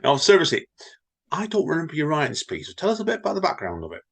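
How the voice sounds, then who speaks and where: A man's voice: a little high, huge pitch swings, quick pace, creaky, a bit bright, slightly rough. A man, co-hosting a podcast, reading stories and limericks aloud and chatting with wry teasing. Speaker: podcast co-host and reader. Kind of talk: reading poems and casual chat.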